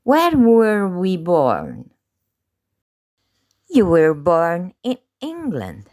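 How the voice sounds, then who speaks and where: A woman leads an English lesson at a crawling, drilled pace, each short phrase set apart. Her pitch sits a little low but swings widely from phrase to phrase, rising sharply on the questions. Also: huge pitch swings, warm tone. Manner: slow, deliberate pronunciation drills with pauses. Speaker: English teacher. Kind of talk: slow drilled language lesson.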